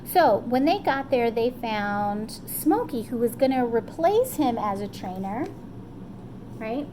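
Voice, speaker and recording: A woman telling a story to children in an animated, encouraging way, often checking in with her listeners. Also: fairly high pitch, slow pace, background noise. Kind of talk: animated children's storytime retelling, loosely paraphrased.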